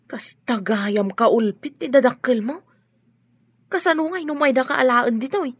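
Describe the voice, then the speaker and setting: A woman playing a nosy, easily flustered household servant in an audio drama, blunt and excitable. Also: high-pitched, normal speed, husky, no room sound, highs cut off. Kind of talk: Ilocano audio drama acting.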